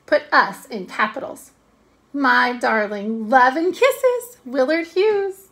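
A woman plays a bossy, scheming young schoolgirl in a storybook. The voice is high and bright, with dramatic stretched words and big swoops of theatrical confidence. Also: animated, heavily acted. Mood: moony, theatrical. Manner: dramatic, drawn-out, mock-romantic when dictating.

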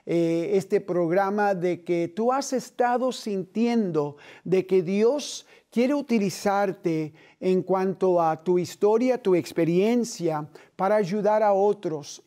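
Middle-aged male TV host, slow and earnest, mellow voice, studio setting. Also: high-pitched. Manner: earnest, measured, with gentle hand gestures.

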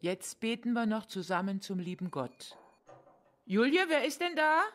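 An actress playing a caring, orderly woman of a country household, gentle but firm, in an audio drama. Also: a little high, sing-song, moderate pace, background noise.

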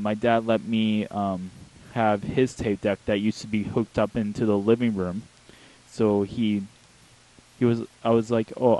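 A young man chatting casually in a halting, rambling way, correcting himself as he goes, into a handheld mic held close. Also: fairly low pitch, warm tone, poor audio. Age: young adult. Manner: halting, self-correcting delivery. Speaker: talk show host. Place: small home bedroom, indoors. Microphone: handheld wireless microphone held close to the mouth.

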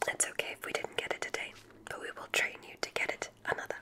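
Woman as a softly whispering gentle witch examiner. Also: close to an everyday voice. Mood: soothing. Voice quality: breathy whisper. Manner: slow, careful, soothing instructions. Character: gentle, reassuring female witch examiner at magic school. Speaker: ASMR roleplay performer. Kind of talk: whispered ASMR medical roleplay.

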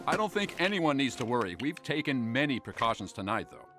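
A polished cartoon TV anchor. He delivers lines like a newscast at medium pitch with lively swings, while loud music plays behind. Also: fairly quick. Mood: composed. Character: polished TV news anchor keeping up appearances. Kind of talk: news anchor delivery in animated game.

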